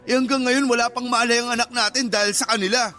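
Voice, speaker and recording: A man's voice: very high voice, fairly even intonation, quick pace, bright, forceful tone. A man playing an anxious, emotional father in a radio drama. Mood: angry, insistent. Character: anxious, guilt-ridden father turning angry and vengeful.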